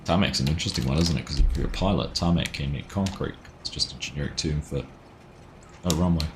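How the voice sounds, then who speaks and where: A man's voice: a bass voice, quick pace, background noise. A young man with a New Zealand accent, chatting casually and reading chat aloud while livestreaming a farming game. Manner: chatty, laughs at himself, reads chat aloud. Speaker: livestream gaming host. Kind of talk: casual live gameplay chat.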